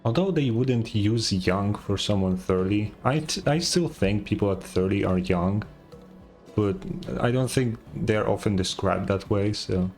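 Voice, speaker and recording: A man's voice: lowish voice, unhurried, a little mellow. A man who is not a native English speaker, giving casual commentary on a game, musing aloud and swearing. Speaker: gaming streamer. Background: game music.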